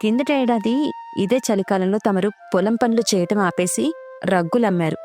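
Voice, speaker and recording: A woman's voice: mid-range voice, normal speed, a little mellow, faint music behind, natural voice. A woman voicing a knowing, devoted sparrow wife in a cartoon story, teasing her husband dryly.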